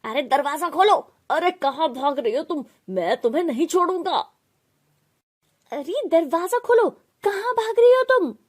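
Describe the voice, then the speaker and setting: A woman voicing a crude, threatening male trafficker in an animated moral story. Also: very high-pitched, unhurried, pitched above the performer's own voice. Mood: angry, urgent. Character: menacing male trafficker, shouting and grumbling.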